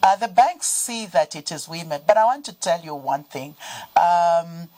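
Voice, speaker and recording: A woman's voice: on the low side, lively intonation, unhurried, a little mellow, poor audio. A woman with a Zambian accent answering as a panel guest, explaining in a conversational, emphatic way.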